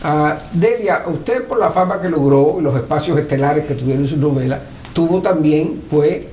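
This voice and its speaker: High-voiced man interviewing, echoey room. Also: normal speed, warm tone. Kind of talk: oral history interview questions. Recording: roomy echo with faint background noise.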